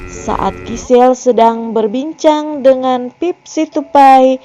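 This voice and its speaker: Woman narrator for a film plot recap; unhurried, careful read over soft background music. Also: high-pitched. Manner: slow, measured reading aloud. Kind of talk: scripted story narration.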